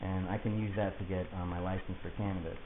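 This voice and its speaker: A man's voice: low-pitched, flat delivery, quick pace. Insistent man on hissy phone-like audio. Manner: insistent, articulate, detailed arguing. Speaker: client of a public defender. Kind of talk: argumentative conversation. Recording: hissy, muffled phone-like audio, echoey room.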